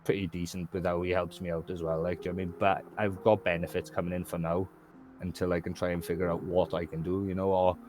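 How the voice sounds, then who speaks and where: Deep-voiced Welsh man, candid and rambling. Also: level tone, quick pace, a little mellow, a little hiss or hum. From Wales. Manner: candid, rambling, frequent "do you know what I mean". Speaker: guest. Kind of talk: casual conversation.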